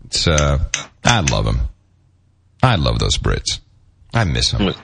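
A middle-aged man co-hosting a casual podcast chat, rambling and animated, with many restarts. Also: a bass voice, slow, creaky, noisy background. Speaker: podcast co-host.

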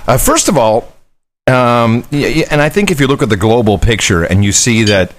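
A man's voice: medium pitch, moderate pace, a little hiss or hum. A man hosting a podcast, chatting casually and telling stories in a rambling way. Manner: rambling, animated storytelling. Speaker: podcast host. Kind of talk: casual chat.

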